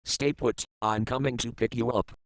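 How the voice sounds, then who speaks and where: A man's voice: mid-range voice, fairly even intonation, normal speed, no echo, close to an everyday voice. A man playing a blunt facility worker and husband in an amateur audio drama. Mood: urgent, concerned. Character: male containment facility staffer, blunt, worried husband.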